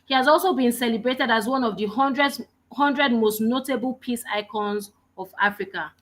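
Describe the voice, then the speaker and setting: A woman moderating an online webinar, reading out a speaker's introduction in a measured, announcing way. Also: on the high side.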